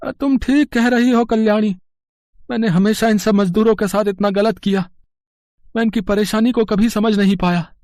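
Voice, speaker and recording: A man's voice: high voice, steady tone, normal speed, a little mellow, no room sound, clean studio sound, close to an everyday voice. A man acting earnest characters in a dramatized moral tale. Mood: remorseful. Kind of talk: dramatized story dialogue.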